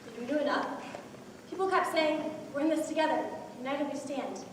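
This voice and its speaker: Woman acting an anxious, very high-voiced young stage heroine. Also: fairly quick, lightly acted. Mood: reflective. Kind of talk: school one-act play acting. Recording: echoey hall from far off, faint noise.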